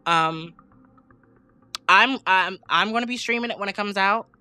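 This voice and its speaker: A woman's voice: mid-range voice, a little slow, bright, forceful tone. A woman co-hosting a gaming podcast in casual, slangy, emphatic chat, sounding like she has a slight head cold. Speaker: podcast co-host and game streamer.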